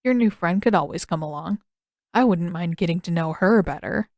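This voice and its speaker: A woman's voice: lowish voice, moderate pace, a little mellow, no room sound, clean studio sound, close to an everyday voice. A woman voicing a smug, insinuating teenage boy in an audiobook story.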